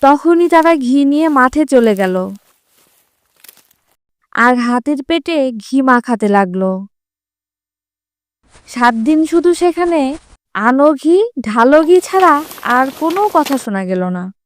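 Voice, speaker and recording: A woman storyteller reads a scripted fable for children. Her voice is high, and the sound is very dry with no room echo. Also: lively intonation, takes it slowly, pauses often.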